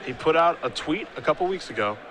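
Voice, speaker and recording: A man calling live game play-by-play, steady when describing plays and easygoing in banter with his co-host. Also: a little high, fast, a crowd in the background. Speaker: play-by-play commentator. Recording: noisy live event audio.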